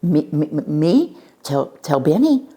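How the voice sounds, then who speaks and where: A woman's voice: lowish voice, animated, unhurried, a little mellow, voice pushed up. A woman voicing a timid young boy lightning bug who is finding his courage, in an emphatic children's storybook read-aloud. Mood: anxious.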